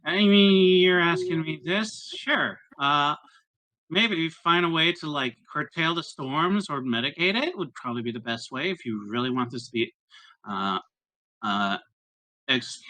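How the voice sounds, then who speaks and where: A man with a bright, tense voice at medium pitch plays a tabletop game. He talks casually in character, bluntly but with many thinking pauses. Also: poor audio.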